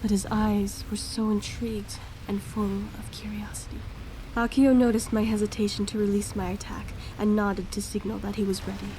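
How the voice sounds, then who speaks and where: A woman's voice: mid-range voice, noisy background, natural voice. A woman playing a young gifted heroine in an audio drama, earnest and a little unsure, telling her story in the first person. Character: hesitant young gifted woman, apologetic, narrating her own fight.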